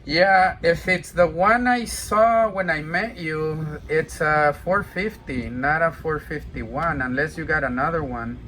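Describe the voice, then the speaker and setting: A man in casual radio chat and demonstrating equipment, talking in a halting, hesitant way. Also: on the high side, background noise. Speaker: amateur CB radio operator demonstrating a radio for sale.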